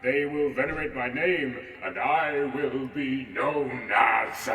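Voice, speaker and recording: A man's voice: mid-range voice, slow, fairly bright tone. Man playing a mad old king in an echoing hall. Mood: grandiose. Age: older. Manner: rambling, pompous, distracted. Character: mad, vain, forgetful king with grandiose ambitions. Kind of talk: comic audio drama acting. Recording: echoey hall, far from the mic.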